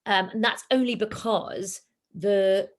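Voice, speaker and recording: A woman, a singing teacher, talking in a relaxed interview and acting out her pupils' lines. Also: medium pitch, slow. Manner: animated, acts out pupils in quoted voices. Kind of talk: casual interview chat.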